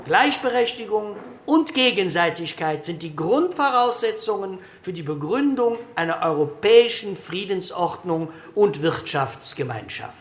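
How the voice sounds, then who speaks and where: An actor playing a firm, earnest male post-war German parliamentarian, making a measured, formal political statement. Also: high-pitched, expressive. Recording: echoey room, faint noise.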